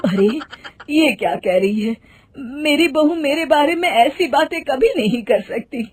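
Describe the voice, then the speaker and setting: A woman voicing a kindly older mother-in-law in an animated story, speaking softly and gently. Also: sits high, unhurried, pitched above the performer's own voice.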